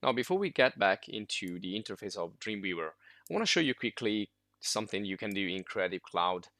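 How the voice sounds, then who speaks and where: A man whose first language is Italian, talking through a screen-recorded software tutorial casually, with many fillers and restarts. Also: lowish voice, expressive, a little fast, no echo, clean studio sound. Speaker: software instructor.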